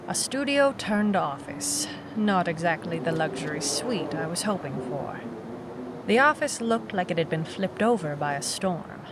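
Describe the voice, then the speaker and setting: A woman's voice: fairly low pitch, animated, unhurried, noisy background. A woman playing a wry, world-weary, tough private eye, narrating a hardboiled noir audio drama. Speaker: lead narrator.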